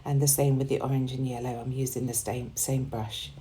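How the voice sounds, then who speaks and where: A woman with a slightly hoarse voice, chatting in a relaxed way as she narrates a scrapbooking process video, with plenty of ums. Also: a bass voice, brisk, a little hiss or hum. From United Kingdom. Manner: relaxed and chatty, with frequent ums and small laughs. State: slightly hoarse, losing her voice. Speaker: crafting channel host.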